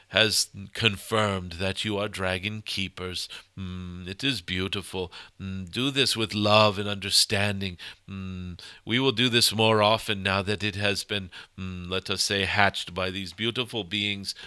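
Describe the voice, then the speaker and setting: Benevolent ancient dragon teacher, male performer: low, very forceful crisp tone, ceremonious spiritual guidance, frequent "mm" hums. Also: no room sound, full character voice. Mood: serene, instructive. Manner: halting, ceremonious phrasing punctuated by frequent humming "mm". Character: channeled cosmic golden dragon, benevolent, wise, loving teacher. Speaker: channeler giving spiritual guidance. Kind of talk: channeled spiritual message to an audience.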